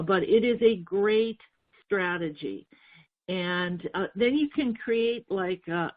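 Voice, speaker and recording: A woman from North Dakota giving a webinar on book marketing as a book coach, telling stories in a chatty way with fillers and restarts. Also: medium pitch. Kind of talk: webinar presentation with slides. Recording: tinny phone-like webinar audio.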